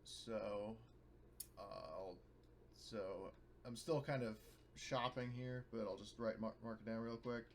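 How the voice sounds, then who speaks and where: A man's voice: on the low side, fairly even intonation, takes it slowly, a little hiss or hum. A man playing a tabletop game, chatting casually and piecing his questions together hesitantly.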